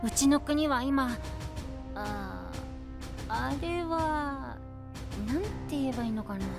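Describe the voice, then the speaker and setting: An earnest, childlike boy knight in an anime audio drama. His voice is very high and swings freely, with guileless, simple phrasing over clearly audible music. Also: expressive, slow, exaggerated voice. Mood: worried, earnest.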